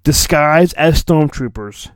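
A man's voice: a little high, lively intonation, slow, no echo. A man hosting a podcast, reading a storybook in a theatrical, mock-dramatic way and breaking off into rambling, sarcastic asides. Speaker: podcast host.

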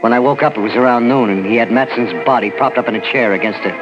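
An actor playing a frightened, submissive, uneducated working man with a halting, folksy, ungrammatical way of talking in a 1940s radio horror drama. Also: mid-range voice, lightly acted. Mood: shaken recounting. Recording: dull old 1940s radio recording, music.

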